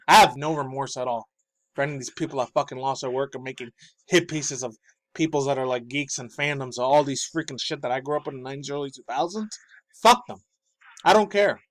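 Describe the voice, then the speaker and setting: A man in his thirties ranting about pop culture news, loud, profane and heated, shifting between yelling and low muttering, with a slightly raspy voice. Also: no room sound. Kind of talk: angry ranting commentary.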